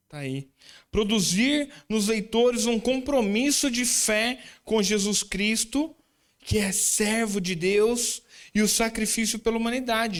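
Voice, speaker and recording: A man preaches to his church with an informal, chatty manner, joking with the congregation between points. His voice sits a bit high and comes across smooth and clear, as if he's talking right to the room. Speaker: visiting preacher leading a student group. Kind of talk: sermon. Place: church sanctuary.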